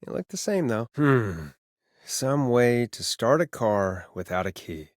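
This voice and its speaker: An actor playing a wry, jaded male radio phone-in host in a video game, sardonic and expressive, moving between showy patter and casual talk. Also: mid-range voice, unhurried, no room sound, clean studio sound. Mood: puzzled, businesslike. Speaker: late-night radio host.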